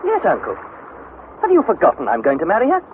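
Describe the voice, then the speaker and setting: An actor playing an earnest young nephew, narrating an expedition with measured, dramatic storytelling in a 1960s radio drama style. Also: male voice, sits high, huge pitch swings. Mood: eager. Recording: narrow-band, slightly noisy vintage radio recording.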